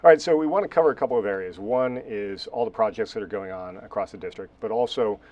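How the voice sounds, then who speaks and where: A man's voice: on the low side, quick pace, darkish tone, dull audio. A man with an American accent hosting a conversational interview. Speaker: host, interviewer.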